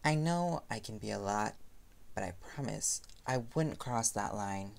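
A man's voice: lowish voice, expressive, measured pace, gravelly, very noisy. A man playing a young, playful, self-deprecating reporter boyfriend in an intimate roleplay audio drama, with a flustered, affectionate way of talking. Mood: flustered, pleading.

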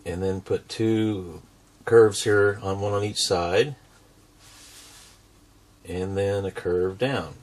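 A middle-aged American man with a fairly low voice gives a step-by-step drawing lesson. He explains things simply and patiently, as if for children. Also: slow, long pauses. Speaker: drawing instructor and show host.

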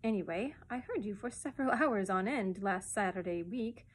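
A woman voicing a bossy, greedy old male starling in a storybook, shrill and pompous. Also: lively intonation, normal speed, slight background noise, natural voice. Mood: huffy. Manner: pompous, wheedling, brusque.

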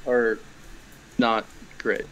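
A man's voice: fairly low pitch, level tone, drawn-out words, a bit bright, lo-fi sound, slight background noise. A young man, co-hosting a casual podcast over a video call, talking in an easygoing, hedging way.

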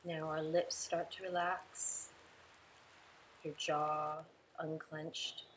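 Low voiced lady doing guided meditation, soothing and slow, some noise in the background. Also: level tone. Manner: soothing, unhurried, instructing in short pauses.

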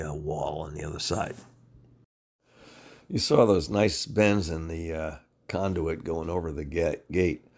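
An older man with an American accent gives halting, methodical how-to narration, pausing often as he explains a DIY job. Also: deep voice, vocal fry. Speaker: DIY home-improvement presenter.

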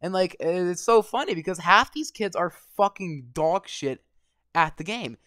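A young man with a slightly hoarse voice, ranting and swearing constantly while commenting over gameplay. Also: sits high, lively intonation, a little slow, sharp tone, no echo, studio quality. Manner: angry, swearing constantly, rambling. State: voice going hoarse. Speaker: gaming vlogger.

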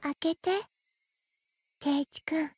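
A woman's voice: high voice, flat delivery, moderate pace, a little mellow, dry recording, lightly acted. A woman playing a sweetly pleading, eerily insistent teenage girl in an anime visual novel, with a childlike, coaxing manner.

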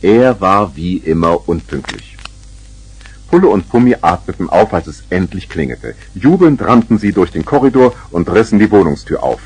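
A man's voice: sits low, big pitch swings, moderate pace, a little mellow, slightly rough, poor audio. A man narrating a scripted children's story.

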